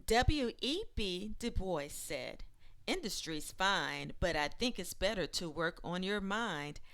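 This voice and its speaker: A woman reading aloud like an educator sharing a children's book, with deliberate and expressive phrasing. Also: fairly low pitch.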